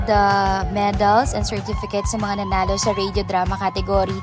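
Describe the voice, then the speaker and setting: A Filipino woman gives a casual vlog voice-over. Her voice sits at a medium pitch and she talks in a chatty, effusive way. Music plays clearly underneath her. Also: completely flat delivery, fairly quick. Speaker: vlogger narrating an event recap. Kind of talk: casual vlog voice-over mixing Tagalog and English.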